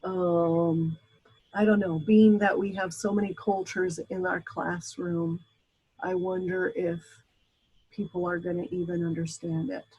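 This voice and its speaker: Slow-talking breathy woman lecturing. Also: on the low side, level tone, a little mellow, poor audio. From Brazil. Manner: chatty, frequent ums and asides. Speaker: instructor. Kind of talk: classroom lecture. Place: classroom.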